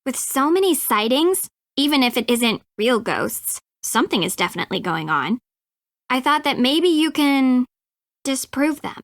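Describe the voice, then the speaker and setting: Snippy young American woman, higher-pitched. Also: big pitch swings, normal speed, dry recording, studio quality. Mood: exasperated. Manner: sarcastic, clipped, emphatic. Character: snippy, defensive young woman, grudgingly asking for help. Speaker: video game character, voice actress. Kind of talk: acted dialogue in an adventure game.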